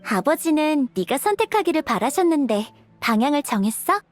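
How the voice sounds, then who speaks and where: A woman voicing a small childlike fairy companion, excitable and earnest, in a cute cartoonish game style. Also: high voice, normal speed, faint music behind. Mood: earnest, helpful.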